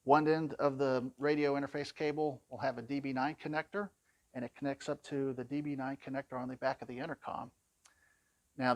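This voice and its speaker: A man's voice: medium pitch, dry recording. A man giving an informal product walkthrough in a plain-spoken, conversational way, explaining things as a demonstrator.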